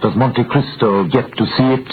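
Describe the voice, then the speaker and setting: A man's voice: mid-range voice, steady tone, normal speed, close to an everyday voice. Male actor as uneasy grumbling conspirator, vintage radio play, narrow muffled sound, faint hiss. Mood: uneasy, impatient. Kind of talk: 1930s radio drama acting.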